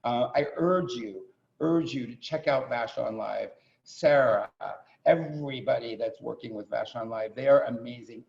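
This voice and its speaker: A man hosting a show with an informal welcome speech, halting and often repeating his words. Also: mid-range voice, gravelly, darkish tone. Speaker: host introducing a theatre show. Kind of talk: informal welcome speech with thanks and shout-outs. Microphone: video call. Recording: muffled video call audio.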